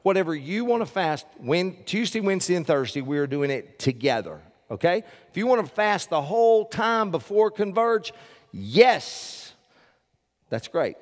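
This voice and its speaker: An older man preaches from a church stage, folksy and quick to joke with the people in front of him. He talks into a headset mic, and his voice trails off into the long reverb of a large sanctuary. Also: high-pitched, big pitch swings. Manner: folksy, joking with the congregation. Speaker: pastor. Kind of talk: sermon with announcements.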